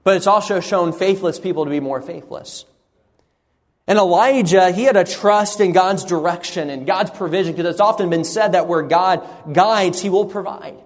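A man preaching an earnest sermon, often repeating words and pausing with fillers. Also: sits high, in a large hall. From raised partly in Sri Lanka on the mission field.

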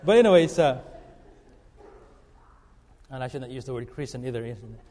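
A middle-aged man from the Philippines, preaching a sermon in an earnest, halting way full of fillers and restarts. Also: medium pitch, lively intonation, warm tone. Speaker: guest preacher. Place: church sanctuary. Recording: long reverb of a church hall.

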